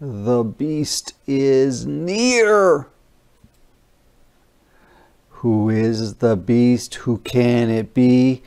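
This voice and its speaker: A narrator reading a children's picture book aloud in an expressive, deliberate, dramatic way. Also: medium pitch, clean tone.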